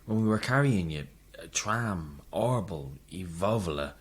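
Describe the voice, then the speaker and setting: A man's voice: deep voice, expressive, measured pace, slightly rough, exaggerated voice. An actor playing an earnest, concerned colleague in an audio drama, plain-spoken and convinced of what he saw. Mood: uneasy. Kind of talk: naturalistic audio drama acting.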